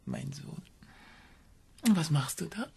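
An actress playing a doting, eerie middle-aged mother in a crime radio drama, breathy and half-whispering. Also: low-pitched, huge pitch swings, slow pace, mellow, heavily acted. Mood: tender.

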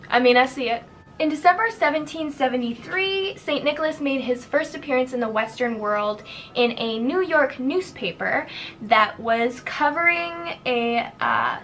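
A woman's voice: a little high, a bit bright, a little hiss or hum. A young woman from Canada hosting her own channel, chatting casually and upbeat with lots of filler words while doing her makeup in a decorated bedroom. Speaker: YouTube host.

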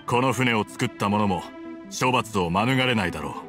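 A man's voice: lowish voice, moderate pace, over loud music, close to an everyday voice. A man voicing a proud, stern officer in anime game style, curt, commanding and scornful. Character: stern, proud male magistrate officer, gruff former friend.

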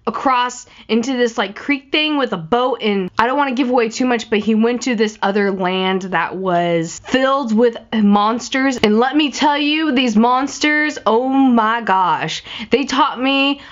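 A young woman in her twenties, slightly raspy from a cold, rambling chattily about a film while she sketches. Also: on the high side, unhurried, few pauses. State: recovering from a cold. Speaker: art vlogger. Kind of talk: casual chat while drawing. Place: small room in front of a patterned backdrop. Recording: slightly dull lo-fi audio.

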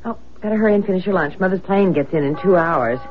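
A woman's voice: on the low side, quick pace, natural voice. An actress playing a fretful, affectionate young wife and mother in a radio drama, with an anxious, questioning manner. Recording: dull lo-fi radio broadcast, faint noise.

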